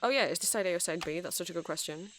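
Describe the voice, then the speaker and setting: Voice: medium pitch, racing, a bit bright, lightly acted. A performer in an ASMR roleplay playing a nerdy, awkward, friendly male vampire music-shop seller who rambles hesitantly and apologetically to the listener. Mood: rambling, helpful.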